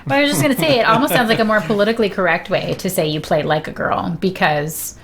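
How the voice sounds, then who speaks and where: A woman's voice: mid-range voice, steady tone, fast talker, a little hiss or hum. A woman in her thirties chatting casually and informally as a guest on a stream. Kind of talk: casual sibling chat.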